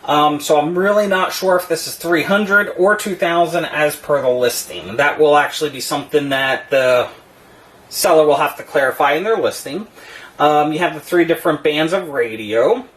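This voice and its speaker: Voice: medium pitch, normal speed, fairly bright tone. A product reviewer giving an unscripted demonstration in a matter-of-fact, explanatory way.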